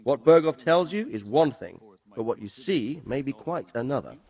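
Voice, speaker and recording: An actor playing a middle-aged, protective noble father who gives measured, instructive advice in a game. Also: mid-range voice, lively intonation, warm tone, slightly rough. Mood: concerned. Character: protective, wise noble father advising his son. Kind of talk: dramatic game cutscene acting.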